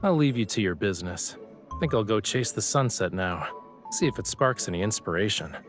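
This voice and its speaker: A man's voice: on the low side, expressive, moderate pace, natural voice. A man playing a courteous, teasing young painter in an audio drama, with music behind him. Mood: nonchalant. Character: young male painter, courteous, teasing, coolly puzzled gentleman.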